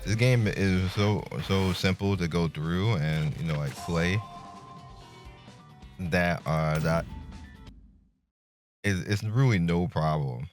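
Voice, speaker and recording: A man hosting a gaming video, giving casual review commentary in a laid-back, colloquial, halting way. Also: low-pitched. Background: music.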